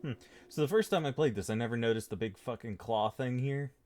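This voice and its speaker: A man's voice: mid-range voice, brisk, darkish tone. A man giving casual, blunt game commentary with slangy, sweary phrasing as a let's play streamer.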